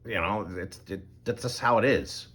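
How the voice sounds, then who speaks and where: A man's voice: fairly low pitch, normal speed, a bit bright, slightly rough. A middle-aged man talking casually to camera, rambling through an explanation with many ums and restarts. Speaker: channel host.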